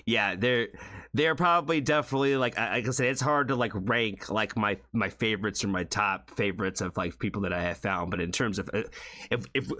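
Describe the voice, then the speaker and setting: A man hosting a casual music podcast, excitable and full of stammering restarts and the odd swear word. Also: fairly low pitch, brisk. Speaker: podcast host. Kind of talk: casual chat.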